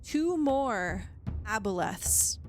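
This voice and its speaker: A woman narrating a tabletop game as game master, describing scenes in a measured, descriptive way. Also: mid-range voice, animated, soft background music.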